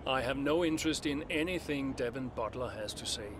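A man acting a veteran racing driver in a game story. He is weary and guarded, speaking in a measured, dry way at medium pitch, with clear noise behind him. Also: lightly acted. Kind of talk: video game cutscene acting.

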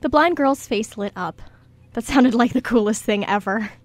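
A woman's voice: a little high, normal speed, dry recording. A woman reading scripted story narration as the narrator of a radio play.

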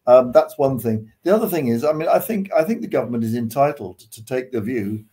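An older British man discusses the news casually over a video call. His voice is mid-pitched and mellow. He sounds reflective, often hesitating as he thinks aloud. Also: expressive, fairly quick. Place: living room at home. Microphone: video call, seated back from the camera.

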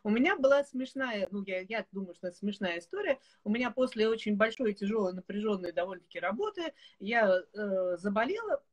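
A woman in her forties hosting a casual video call chat, telling stories in an animated, laughing way. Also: medium pitch, brisk, hardly any pauses. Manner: lively storyteller, animated and laughing. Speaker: host. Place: home room with bookshelves. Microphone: video call webcam.

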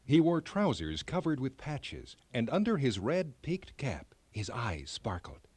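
A man narrating a scripted children's story as a storyteller, with expressive, clearly phrased reading. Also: mid-range voice, a little slow, no room sound.

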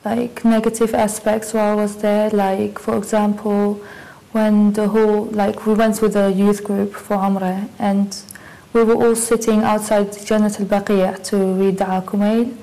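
A young woman being interviewed in a dark studio, recalling her trip in a relaxed, chatty way with many fillers. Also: mid-range voice, measured pace, creaky, low-quality recording. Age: young adult. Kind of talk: casual recollection.

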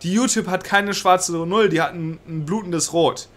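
A man's voice: high voice, moderate pace, a bit bright. Agitated young man with a cold. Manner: agitated, swears, mixes in English words. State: has a cold. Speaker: political commentator. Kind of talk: casual commentary reading a law text aloud. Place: small room indoors.

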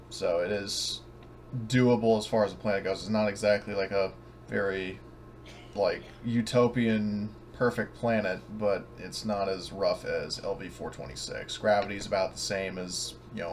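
A man casually explaining a tabletop game scenario as game master, in a halting, rambling way. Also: on the low side, a little hiss or hum. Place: online voice call.

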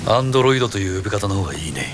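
A man's voice: lowish voice, expressive, racing, background noise. A middle-aged man dubbing film characters, stern and commanding, often menacing. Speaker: voice actor dubbing film roles. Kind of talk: film dubbing, dramatic acting.

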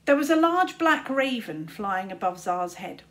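A woman in her thirties reading a storybook aloud to children, deliberately and expressively, like a teacher. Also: on the high side, very animated, fairly bright tone. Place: small room at home, indoors.

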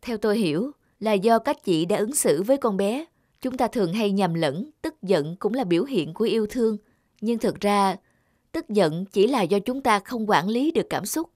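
A woman's voice: mid-range voice, expressive, normal speed, a little mellow, no echo, clean studio sound, natural voice. A woman playing a caring, earnest young teacher in a cartoon. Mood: soothing, earnest. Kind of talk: cartoon dialogue.

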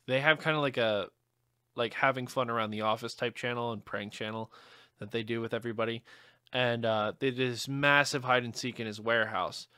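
Bright, close-up male podcast voice. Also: mid-range voice, fairly even intonation, brisk, clear, resonant tone, no echo. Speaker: podcast co-host. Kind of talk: casual podcast chat.

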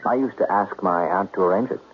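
An actor playing a smooth, calculating gambler who narrates his plot in a 1970s radio drama. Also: deep voice, fast talker, close to an everyday voice. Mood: insinuating. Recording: tinny, noisy 1970s radio broadcast.

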